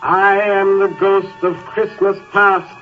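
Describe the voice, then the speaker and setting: An actor playing a gentle spirit of the past, slow, measured and solemn in a 1930s radio drama. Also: male voice, high voice, flat delivery, over music. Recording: tinny, very noisy old radio recording.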